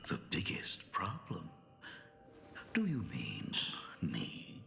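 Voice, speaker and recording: A deep, sinister male game villain, smug and theatrical. His gravelly voice drawls with mock pleasantness. It rings in long reverb over faint music. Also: wildly swooping pitch, extremely slow, exaggerated voice. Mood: sly, feigned sincerity. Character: sinister male impostor posing as a father, smug, taunting.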